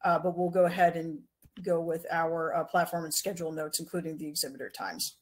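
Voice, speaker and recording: A young woman reads out conference logistics from home over a laptop microphone on a video call. Her voice sits on the low side, and she talks in a loose, casual way, dropping in frequent uh and um as she goes. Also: flat delivery, fairly quick. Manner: casual, many uh fillers. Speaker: conference organizer. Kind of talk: housekeeping announcements at an online conference.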